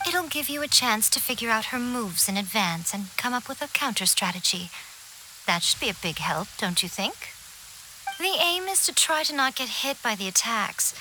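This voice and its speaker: A woman voicing a sly, poised fox spirit in a video game, speaking in a measured, formal, teasing way with silky self-assurance. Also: on the high side, big pitch swings, noisy background. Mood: teasing, smug. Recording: music under the voice. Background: background music.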